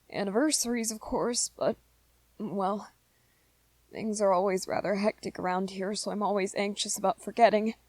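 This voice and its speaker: A woman acts out a gentle young vampire boyfriend for an ASMR roleplay. He speaks very slowly and softly, hesitating and stammering as he anxiously apologizes. The sound is close and dry. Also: mid-range voice, dry recording. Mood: anxious, tender. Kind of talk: ASMR roleplay, scripted one-sided dialogue to listener.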